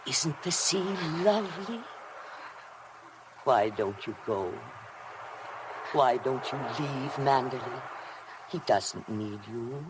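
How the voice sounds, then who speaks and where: An actress playing a cold, obsessive housekeeper in a 1950s radio drama, formal, deliberate and insinuating. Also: female voice, very deep voice, lively intonation, exaggerated voice. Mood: sinister coaxing. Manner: deliberate, insinuating, coldly formal, hypnotic repetition. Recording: noisy vintage 1950 radio broadcast.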